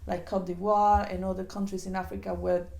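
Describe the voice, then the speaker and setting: A woman's voice: medium pitch, little pitch movement, a little fast, creaky, darkish tone. A woman co-hosting a podcast, speaking in a conversational, reflective way and searching for her words. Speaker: podcast co-host.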